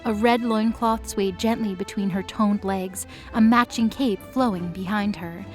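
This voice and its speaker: A woman's voice: mid-range voice, steady tone, measured pace, a little mellow. A woman narrator reading a scripted dramatic story. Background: music bed.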